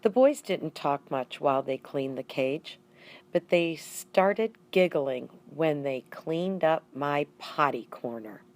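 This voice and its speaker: Slow, lowish-voiced woman reading a storybook. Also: animated, a little mellow. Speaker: audiobook reader. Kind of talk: expressive children's storybook narration.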